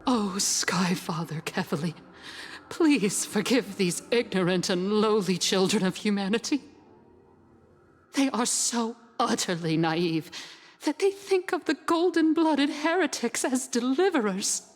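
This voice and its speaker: A woman's voice: medium pitch, unhurried, lightly acted. An actress voicing a fanatical, contemptuous female zealot in a video game, intoning prayers with theatrical reverence. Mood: fervent, pitying. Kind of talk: English video game voice acting. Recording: long hall reverb, faint music.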